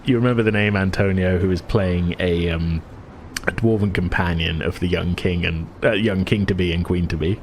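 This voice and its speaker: A man's voice: sits low, fairly even intonation, normal speed, a little hiss or hum. A man acting as game master, improvising descriptive narration for a tabletop game in a hesitant, searching way.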